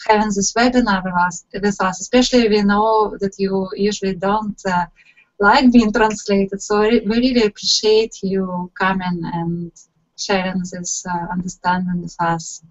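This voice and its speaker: A woman hosts a webinar and interprets each passage in turn, keeping a measured, even delivery. Her pitch sits in the middle range. The call audio is slightly dull and muffled. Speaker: host and interpreter. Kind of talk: consecutive interpreting into Russian.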